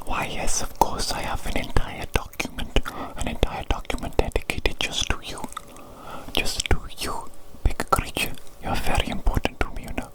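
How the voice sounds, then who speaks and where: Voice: moderate pace, lightly acted, rough recording. A performer voicing a doting evil mad doctor who scorns humans, in a repetitive, fussing whisper for an ASMR medical roleplay.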